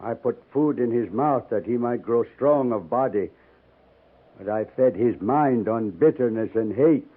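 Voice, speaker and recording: Tinny old-radio dying old chief. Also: male voice, medium pitch, breathy edge. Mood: regretful. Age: older. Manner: solemn, measured, formal poetic phrasing. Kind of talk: 1950s radio drama acting. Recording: narrow tinny 1950s radio recording.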